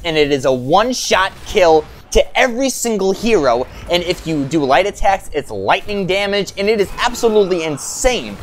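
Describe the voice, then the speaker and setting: A man's voice: high-pitched, slight background noise. A man hosting a gaming video, giving enthusiastic, informal and hyped commentary as he goes through a game overview.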